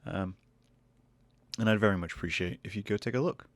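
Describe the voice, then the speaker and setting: A man with a Northern English accent, hoarse and croaky today, rambling through a casual solo podcast in a self-deprecating way. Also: lowish voice, fairly quick, no echo. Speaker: podcast host and artist. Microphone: desk microphone close to face.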